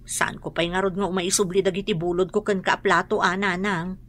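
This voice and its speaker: A woman's voice: on the low side, level tone, fairly quick, close to an everyday voice. A woman playing an evasive, stubborn wife and mother in a radio drama, with an Ilocano accent.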